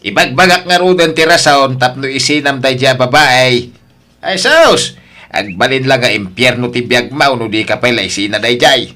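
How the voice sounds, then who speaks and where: Bitter old Filipino father, male actor, audio drama: scornful scolding, very animated, sharp-edged delivery. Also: mid-range voice, normal speed. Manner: scolding, forceful, exclamatory. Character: bitter, scornful old father nagging his married son. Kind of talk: radio-style audio drama acting.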